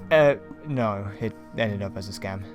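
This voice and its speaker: A man's voice: on the low side, a little slow, over music, lightly acted. A young man acting a nervous, evasive youth with a hesitant delivery in an amateur Minecraft roleplay. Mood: sheepish. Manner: hesitant, stammering.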